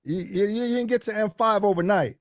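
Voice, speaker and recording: A man's voice: high voice, a little fast, no room sound, studio quality. A man giving a motivational team talk on a video call, informal and emphatic, with slangy asides. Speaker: team training speaker and coach.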